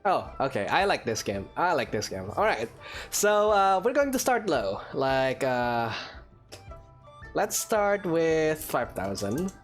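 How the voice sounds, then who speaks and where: A woman's voice: low-pitched, very animated, slow, soft background music. A woman with an Indonesian accent giving chatty, playful, casual commentary as she hosts gameplay. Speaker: gaming video host.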